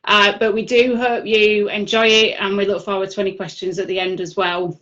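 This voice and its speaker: Bright-voiced Yorkshire woman on a video call. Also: medium pitch, flat delivery, fairly quick. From Yorkshire, England. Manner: chatty, filler-heavy delivery. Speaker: conference speaker. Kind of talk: online user group presentation. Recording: dull lo-fi video call audio.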